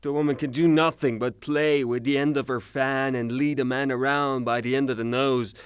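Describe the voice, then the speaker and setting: A man's voice: mid-range voice, steady tone, normal speed, smooth, no echo, lightly acted. A man acting in a theatrical audio drama as a furious, rude creditor given to blustering, sarcastic tirades. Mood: ranting, scornful. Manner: blustering, declamatory, sarcastic, long rhetorical tirades. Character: furious, rude creditor, a ranting misogynist gentleman.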